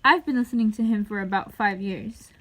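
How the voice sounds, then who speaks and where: A woman's voice: medium pitch, fairly quick, fairly bright tone, close to an everyday voice. A woman playing an earnest young male music fan being interviewed on a radio show, speaking plainly.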